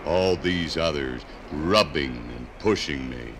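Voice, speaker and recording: A man playing a bewildered lump of iron ore in a 1950s radio drama, wondering aloud in a halting, dramatic way. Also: rumbling low, noisy background. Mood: puzzled, uneasy. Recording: 1950s radio LP, music behind.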